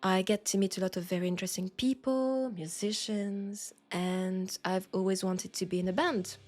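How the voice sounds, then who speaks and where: A woman with a French accent acting a nostalgic, playful old school friend in an intimate, casual audio roleplay, with an unhurried, teasing manner. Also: medium pitch, steady tone, lightly acted. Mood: casual, enthusiastic. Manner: unhurried, teasing, breaking into soft laughs.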